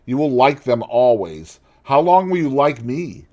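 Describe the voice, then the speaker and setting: A man's voice: big pitch swings, a little mellow. A man reading aloud and voicing an anguished, vain young man, impassioned and pleading. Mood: bitter. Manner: impassioned, pleading, high and drawn-out.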